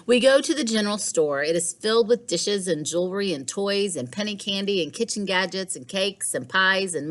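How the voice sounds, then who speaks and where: A woman teacher reading a children's storybook aloud in a measured, animated way. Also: mid-range voice. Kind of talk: expressive storybook read-aloud.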